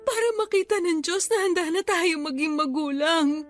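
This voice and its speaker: A weepy grieving wife in a radio drama, really high voice, pleading, faint music under it. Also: female voice, normal speed. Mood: pleading grief. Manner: tearful, pleading delivery. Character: Alma, grieving, self-blaming wife who lost her babies.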